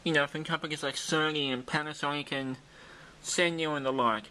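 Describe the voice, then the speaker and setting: An Australian man with a fairly high, bright and forceful voice. He talks like a hobbyist host in a casual demonstration, informal and rambling, often thinking aloud. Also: steady tone, a little fast, rough recording. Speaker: video host demonstrating a vintage radio.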